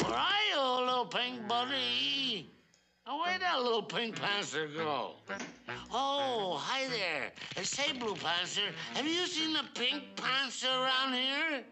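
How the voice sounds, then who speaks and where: Casting: befuddled, genial cartoon drunkard, slow slurred delivery over soundtrack music. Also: male voice, sits high, heavily acted. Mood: cheerful, tipsy. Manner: slurred, rambling drunken speech. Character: friendly, befuddled drunk middle-aged husband. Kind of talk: classic comic cartoon voice acting.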